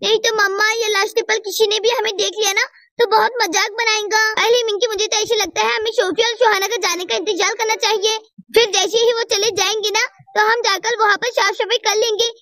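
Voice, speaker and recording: An excitable little schoolgirl from a children's story, with an extremely high, bright voice. She speaks in exclamatory, sing-song phrases and sounds a bit distant from the microphone. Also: brisk. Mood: worried. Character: excitable, easily embarrassed little schoolgirl chattering with friend.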